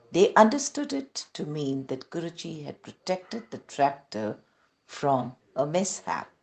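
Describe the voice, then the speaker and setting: Low-pitched Indian woman reading with lively intonation. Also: slight background noise, rough recording. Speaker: reader of devotional testimonies. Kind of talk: scripted devotional narration, read aloud.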